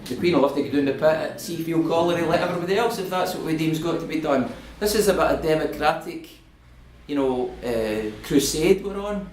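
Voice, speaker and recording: A man's voice: a little high, a little fast, a little mellow, a little hiss or hum. A Scottish man in his fifties giving a passionate political talk to a local audience in a community hall, building to emphatic oratory. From Scotland. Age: 50s. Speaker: guest speaker. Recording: echoey room.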